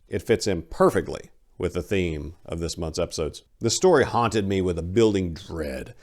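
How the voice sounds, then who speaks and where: A man hosting and narrating stories in a dramatic, deliberate, theatrical scripted style. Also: sits low, darkish tone. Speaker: channel host and story narrator.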